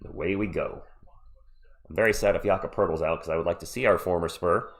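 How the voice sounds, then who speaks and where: A man's voice: low-pitched, a little fast, slight background noise. A man who is sick with a cold, hosting a casual live play-by-play stream and chatting jokily with viewers. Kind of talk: casual sports commentary and chat with viewers.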